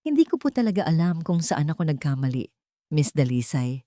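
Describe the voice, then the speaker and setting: Woman playing a melodramatic scheming stepmother, animated pitch. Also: fairly quick, no echo, studio quality. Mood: feigned remorse. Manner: melodramatic, accusing, tearful. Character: scheming stepmother posing as a distraught victim.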